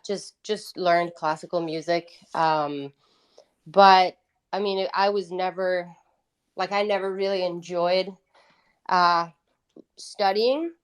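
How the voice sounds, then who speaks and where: Lower-pitched woman from Italy, chatting casually. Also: clear, resonant tone. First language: Italian. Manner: informal, peppers talk with "like". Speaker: podcast guest, musician. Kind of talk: casual interview chat.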